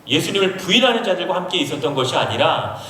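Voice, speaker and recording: A man's voice: on the high side, very animated, quick pace, sharp tone, a little hiss or hum. A man from Seoul preaching a sermon as a pastor, explaining a passage and putting questions to his listeners. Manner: explains and asks the audience questions. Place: large university auditorium. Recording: echoey hall from far off.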